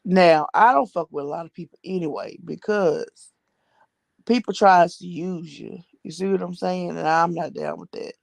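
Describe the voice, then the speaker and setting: A woman's voice: fairly low pitch, expressive, normal speed, vocal fry, no echo. An American woman giving casual gossip commentary, blunt and slangy with plenty of swearing, repeating phrases for emphasis. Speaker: vlogger commenting on social media personalities.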